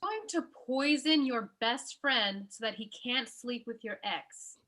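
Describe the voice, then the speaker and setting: Earnest, bright-voiced disapproving young girlfriend played by a woman. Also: a little high, measured pace. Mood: reproachful. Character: sensible, disapproving young girlfriend of a struggling writer. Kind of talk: comedy script table read.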